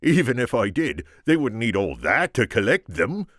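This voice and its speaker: A man voicing a tiny, henpecked old farmer with a halting, bewildered manner. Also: medium pitch, sing-song, fairly bright tone, no echo, studio quality, pitched above the performer's own voice. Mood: alarmed.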